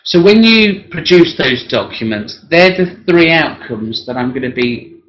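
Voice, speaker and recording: A hoarse man who is losing his voice talks through an assessment plan one-to-one as a work-based assessor. He clears his throat often and speaks haltingly, with frequent tag questions. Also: a little high, very animated, a little hiss or hum. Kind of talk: one-to-one assessment planning talk. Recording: dull lo-fi recording.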